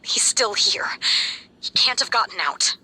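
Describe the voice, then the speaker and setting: Bright-voiced, strained, grieving officer. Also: female voice, medium pitch, expressive, exaggerated voice, poor audio. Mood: grieving, vengeful. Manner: halting, breathy, emotionally strained delivery. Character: wounded, grieving female station officer, bitter and pleading. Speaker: game character. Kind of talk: dramatic game radio message.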